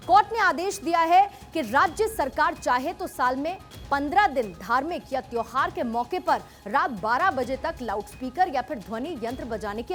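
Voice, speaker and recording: A woman news presenter delivering a scripted explainer in a broadcast narration style. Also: high-pitched.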